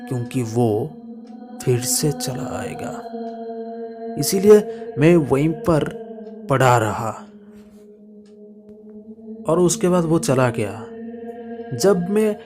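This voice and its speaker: A man narrating a first-person horror story dramatically, in halting short phrases that sometimes drop to a hush. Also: mid-range voice. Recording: music bed behind the voice.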